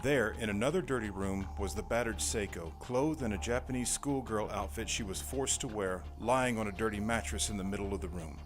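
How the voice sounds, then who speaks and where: A man's voice: on the low side, fairly quick, hardly any pauses, over music. A man giving scripted, dramatic narration for a noir horror audio drama.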